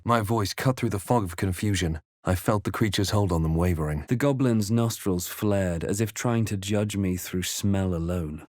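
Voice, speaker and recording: An actor playing a brooding male adventurer in a fantasy game, narrating his quest in a measured, theatrical, grave manner. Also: on the low side, no echo, studio quality, lightly acted. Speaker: player character in fantasy role-playing game. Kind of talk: dramatic scripted game voice lines.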